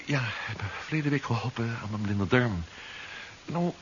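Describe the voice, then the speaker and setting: A man's voice: medium pitch, moderate pace, close to an everyday voice. An actor playing a determined male agent who bluffs and threatens, in 1970s radio drama style. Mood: anxious, pleading. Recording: dull, hissy 1970s radio recording.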